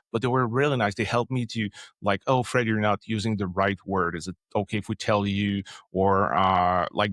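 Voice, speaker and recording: Man with Quebec French accent, native French speaker, interview guest, lowish voice, dry recording, lots of "uh"s. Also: a bit bright, no echo. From Quebec, Canada. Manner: storytelling, many fillers and restarts. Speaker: podcast guest, developer advocate. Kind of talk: casual interview answer.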